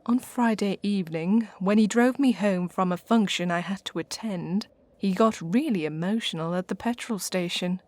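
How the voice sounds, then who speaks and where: A woman's voice: mid-range voice, normal speed, a little mellow, dry recording, close to an everyday voice. A woman with an English accent playing a haughty, theatrical lady witch who hosts a radio sitcom in an arch, bossy way. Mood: grand, droll. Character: haughty, theatrical lady witch hosting from her haunted castle. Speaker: host.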